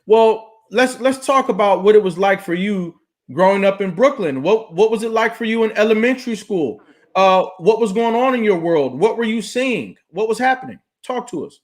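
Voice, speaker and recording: High voiced guy hosting a talk show, upbeat, lots of okays and rights. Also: lively intonation, normal speed. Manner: upbeat, encouraging, short affirmations.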